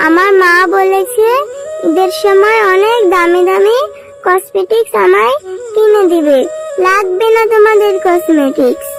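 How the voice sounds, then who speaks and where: A woman's voice: very high-pitched, measured pace. A woman voicing an earnest little jackal girl in a children's cartoon, with a childlike, plaintive way of talking over music. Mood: hurt. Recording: music behind the voice.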